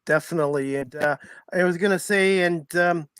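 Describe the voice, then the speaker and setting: A man with a somewhat high voice hosts a casual sports interview. His phrasing is loose and halting, leaning on stock phrases. The recording is dry, with no room sound. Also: a bit bright, clean tone, no room sound. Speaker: sports talk show host.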